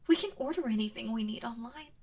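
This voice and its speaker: A woman playing a kind but obsessive, lovesick yandere captor in an intimate ASMR audio roleplay, speaking softly and hesitantly in a pleading, breathy way. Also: fairly high pitch, fast talker, slight background noise, close to an everyday voice. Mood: soothing, possessive. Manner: hesitant, pleading, soft-spoken with breathy drops into whispers.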